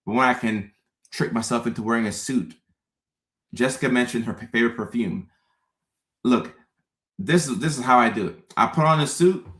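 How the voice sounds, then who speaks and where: A man in his thirties from Ghana, hosting a relaxed livestream and chatting with viewers, often repeating his phrases. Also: mid-range voice, moderate pace, long pauses. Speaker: host. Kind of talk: casual livestream chat.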